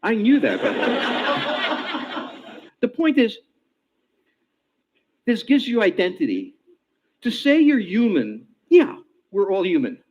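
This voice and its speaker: Man from hungary, hungarian first language, high lively voice, jokey lecture, dull lo-fi audio. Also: slow pace, long pauses, slight background noise. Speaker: speaker in a played lecture clip. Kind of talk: speech to a live audience.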